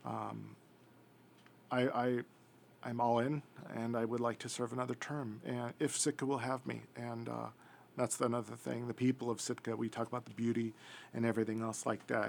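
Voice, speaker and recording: Gravelly guy asking for another term, sincere, lots of ums, slight noise behind. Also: medium pitch, flat delivery. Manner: sincere, grateful, halting with fillers. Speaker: local assembly member seeking reelection. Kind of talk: candidate forum answer.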